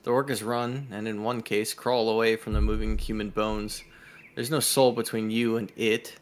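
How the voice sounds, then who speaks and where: A man's voice: lowish voice, flat delivery, normal speed, slight background noise. A man co-hosting a live stream, switching between casual chat and reading an interactive story aloud.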